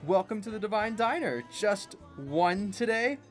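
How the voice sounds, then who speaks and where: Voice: mid-range voice, expressive, a little slow, over loud music, lightly acted. A performer acting in an audio drama as an orderly, welcoming host of an otherworldly diner. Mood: cheery.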